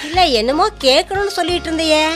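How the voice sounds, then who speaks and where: A woman playing a bossy, thrifty older mother-in-law in a radio drama, sharp and nagging. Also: very high voice, fast, faint music behind. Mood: bossy, scolding.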